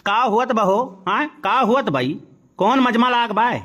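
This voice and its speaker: A man as a grumbling village head of house, high male voice, Awadhi accent, sarcastic, comedy cartoon. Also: expressive, a little slow, fairly bright tone, muffled recording, full character voice. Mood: demanding. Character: gruff, sarcastic village family patriarch. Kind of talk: comic cartoon dialogue.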